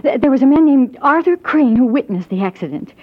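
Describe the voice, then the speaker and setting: An actress playing a determined, grieving daughter, earnest and insistent, in 1950s radio drama style. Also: female voice, sits high, lively intonation, brisk. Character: determined, bereaved daughter hiring a detective. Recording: muffled 1950s radio recording.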